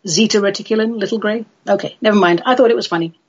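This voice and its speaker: A woman's voice: mid-range voice, quick pace, breathy edge, muffled recording. A woman from London with a London English accent hosting a chatty solo radio show in a playful, theatrical, droll manner. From London, England.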